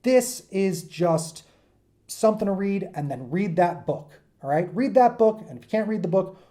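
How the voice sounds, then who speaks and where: A man's voice: high voice, animated, normal speed, mellow. A man giving an informal lecture to students in an enthusiastic, emphatic, rambling conversational way, as their teacher.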